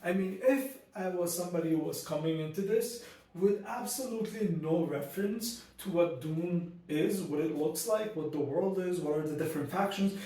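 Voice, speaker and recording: A man's voice: high-pitched, moderate pace, dark tone, recorded from a distance. Male booktuber, chatty and ranty, in a very echoey room a step back from the mic. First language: not Arabic; Arabic learned as second language. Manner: ranty, many fillers and restarts. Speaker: book reviewer. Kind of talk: casual spoken book review.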